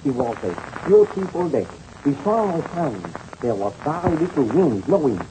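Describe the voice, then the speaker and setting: A male actor playing a courteous aviator, formal and deliberate, in a 1930s radio drama. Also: fairly high pitch, sing-song. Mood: earnest, courteous. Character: courteous French aviator telling his tale of misadventure. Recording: noisy old radio transcription.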